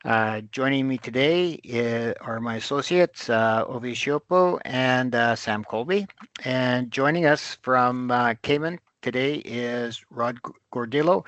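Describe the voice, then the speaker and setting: A man hosting an interview podcast, speaking haltingly with many pauses and fillers. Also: medium pitch, fairly bright tone, dry recording. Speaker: host.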